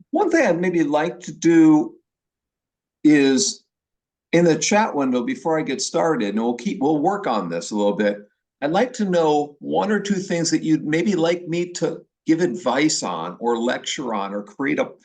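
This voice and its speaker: A man with an Upper Midwest American accent from Milwaukee, a professor leading an online class orientation in a chatty way, with restarts and pauses. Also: mid-range voice, a little mellow. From Milwaukee, Wisconsin. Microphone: video call.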